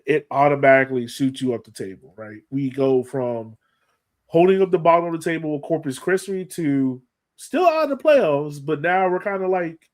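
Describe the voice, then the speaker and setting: A man with an American accent chatting casually as a sports podcast co-host, animated and self-deprecating, often thinking aloud. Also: fairly high pitch.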